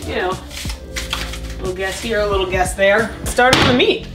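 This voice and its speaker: Chatty mom talking while cooking. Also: female voice, medium pitch, unhurried. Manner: chatty, upbeat, laughs often while working. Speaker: vlogger, mom cooking dinner. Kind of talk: casual cooking vlog chat. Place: small home kitchen. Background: music and clattering pots and dishes.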